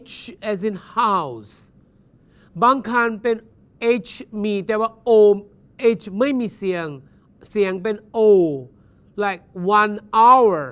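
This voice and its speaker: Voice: mid-range voice, smooth. A university English teacher giving a recorded lecture. They explain patiently, spell words out letter by letter, switch between English and Thai mid-sentence, and keep checking in with "Understand?". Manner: gentle and encouraging toward learners. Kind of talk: recorded distance-learning lecture.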